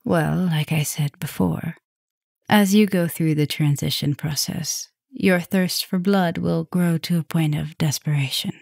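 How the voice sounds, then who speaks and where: A woman playing a caring, softly dominant vampire professor in an ASMR roleplay, talking gently and intimately to the listener, with breathy drops toward a whisper. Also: sits low, a little slow, dry recording, studio quality, exaggerated voice. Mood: serious, protective.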